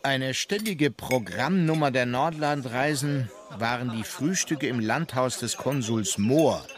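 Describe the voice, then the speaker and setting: An actor playing a wry member of an imperial travelling party, narrating memoirs in a radio drama. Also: male voice, medium pitch, a little slow, close to an everyday voice. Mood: dry recollection.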